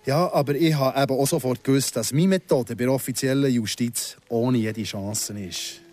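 An actor as a menacing, vengeful Swiss German ex-convict. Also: male voice, mid-range voice, darkish tone, no echo, close to an everyday voice. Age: 30s. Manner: deliberate, sardonic storytelling. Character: vengeful wronged ex-convict in his thirties, patient, menacing. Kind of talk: Swiss radio drama dialogue.

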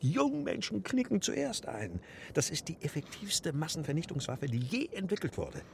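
A man's voice: on the high side, wildly swooping pitch, moderate pace, gravelly, full character voice. An actor playing a scheming male conspiracy crank in a radio drama, emphatic, cajoling and prone to ranting. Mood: fervent, ranting. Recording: background noise.

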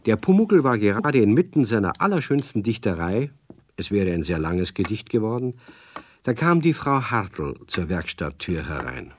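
A man's voice: fairly low pitch, very animated, moderate pace, slight background noise. A man narrating a children's radio play as a genial storyteller. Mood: storytelling.

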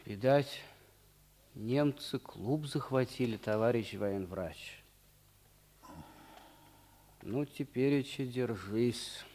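An actor playing a grim, steady fortress defender in a 1950s Soviet radio war drama. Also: male voice, medium pitch, drawn-out words, long pauses, a little hiss or hum.

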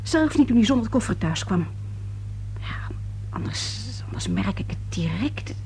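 An actress playing a composed, resigned middle-aged wife and mother in a radio play, measured and understated. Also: mid-range voice, animated, gravelly, mellow, whispered. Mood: resigned, dry. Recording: noisy old radio play recording.

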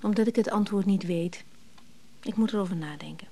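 An actress playing a dreamy, cool woman with a breathy voice in an intimate radio drama. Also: medium pitch, a little fast, mellow, lightly acted. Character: dreamy, cool woman parrying a man's offer.

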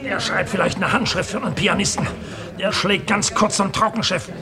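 A wry, battered police sergeant from a sixties radio crime play. His voice is high for a man, with a busy crowd behind him. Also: steady tone, moderate pace. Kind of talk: 1960s German radio crime drama acting.

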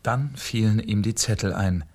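A man's voice: fairly low pitch, moderate pace, darkish tone. A man narrating a scripted fairy tale as the storyteller of a radio play. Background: music.

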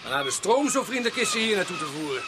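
A man's voice: very high-pitched, wildly swooping pitch, fast talker, exaggerated voice. An actor playing a chatty, bluff, seasoned policeman in a radio drama, casual and dryly joking. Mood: dryly matter-of-fact. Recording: clear background noise, outdoor vehicle ambience.

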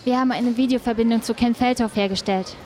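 A woman's voice: fairly high pitch, steady tone, fast, a little mellow, natural voice. A woman playing a composed, probing reporter who narrates and interviews in a documentary-style radio play. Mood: composed narration. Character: inquisitive woman reporter narrating her investigation. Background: music.

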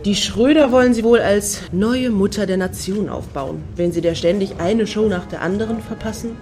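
An actress playing a wry woman in a friend group in a satirical radio play. Her voice sits at a medium pitch, and she comments in a knowing, opinionated way. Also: moderate pace, warm tone, soft background music, natural voice. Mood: wry, gossipy.

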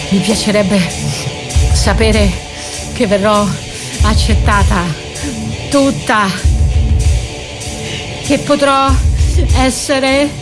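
An actress playing a battered, dreamy and desperate wife in a poetic theatrical radio monologue, halting and repeating her words. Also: high voice. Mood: yearning. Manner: halting, repeating words, swinging from murmur to cries. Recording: music under the voice. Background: music.

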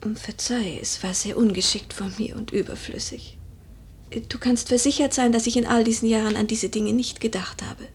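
A woman playing a wistful, proud noble widow in a German literary radio play, measured and poetic with hushed, breathy phrases. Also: on the high side, steady tone, slight background noise, close to an everyday voice. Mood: melancholy, guarded.

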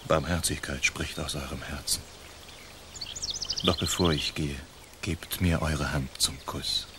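Radio drama outlaw: extremely low, level delivery, hushed courtly seducer, bold and ardent, clear outdoor noise. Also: male voice, steady tone, unhurried. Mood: ardent, seductive. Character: bold, charming masked outlaw, ardent and gallant suitor. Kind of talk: radio play acting.

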